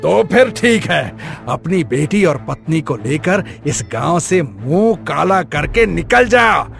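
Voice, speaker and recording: A man's voice: on the high side, sing-song, takes it slowly, vocal fry, fairly bright tone, full character voice. A man playing a cruel, domineering village moneylender in a cartoon, with a menacing, commanding manner. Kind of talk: Hindi cartoon voice acting. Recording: light music bed behind.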